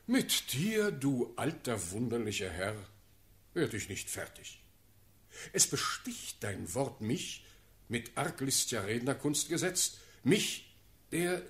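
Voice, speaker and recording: An actor playing an imperious, quick-tempered German ruler in a classical verse radio play, with declamatory, theatrical speech. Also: male voice, medium pitch. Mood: exasperated. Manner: declamatory, theatrical, sharp swings in force. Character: imperious, quick-tempered German prince-elector, commanding and sardonic.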